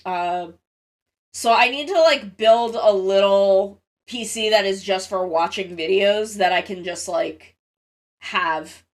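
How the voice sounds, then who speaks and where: Congested, sniffly woman chatting on livestream. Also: fairly low pitch. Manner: rambling, self-interrupting, sweary. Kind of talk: casual livestream chat.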